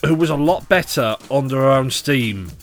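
Lively, opinionated male podcast host. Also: mid-range voice, faint music behind. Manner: animated, opinionated, quick to joke. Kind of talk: casual chat.

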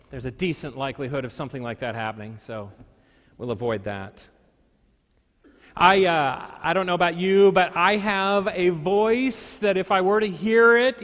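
A male pastor with a high voice preaches in a large church hall with long reverb. He moves between scripture and storytelling, using deliberate pauses and dramatic emphasis. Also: a bit bright. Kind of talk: sermon with scripture reading and storytelling.

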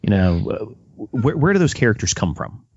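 Dry muffled sound, guy podcast host with a lowish voice, pitch moves a lot, stumbles through questions. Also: big pitch swings, husky, dry recording. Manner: halting, repeats words while forming questions. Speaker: podcast interviewer. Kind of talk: casual interview chat.

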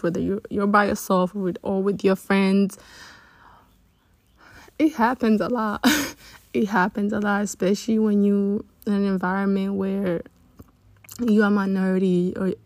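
A young woman in her early twenties hosting a casual solo podcast, chatty and rambling with many restarts, her voice sitting lower than usual after being up for a long time. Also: dry recording. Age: early 20s. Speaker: podcast host.

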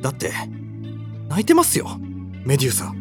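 A man's voice: mid-range voice, huge pitch swings, brisk, faint music behind. A man playing an earnest, timid, kindhearted young hero in an audio drama, polite and easily flustered. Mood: earnest pleading. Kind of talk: anime-like audio drama acting.

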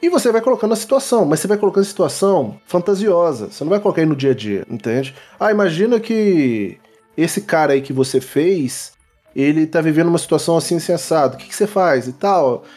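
A Brazilian man with a fairly high, husky voice explains things in a casual podcast conversation. He talks colloquially, repeating himself and tagging on little questions, as music plays softly behind him. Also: expressive, quick pace. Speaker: guest psychologist.

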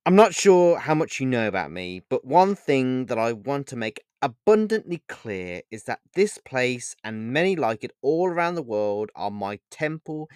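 A man's voice: medium pitch, sing-song, moderate pace, few pauses, darkish tone, smooth, no room sound, studio quality, lightly acted. A man voicing an ancient god in a dramatic fantasy story, sardonic and scornful, speaking in a theatrical, declamatory way. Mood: proud, imperious. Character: wild-eyed ancient male god Pan, sardonic, bitter and scornful.

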